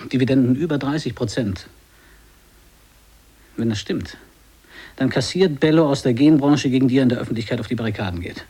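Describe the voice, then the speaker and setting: A man's voice: medium pitch, dark tone, husky, natural voice. Terse, suspicious male gag writer played by actor. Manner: terse, questioning. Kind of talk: 1980s German radio drama acting.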